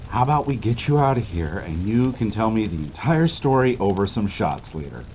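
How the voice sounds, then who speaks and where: An actor in an audio drama playing a gruff, sardonic tough guy who comes to the rescue, wry and urgent. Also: lowish voice, very animated, normal speed, darkish tone, a little hiss or hum, poor audio. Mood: concerned, wry.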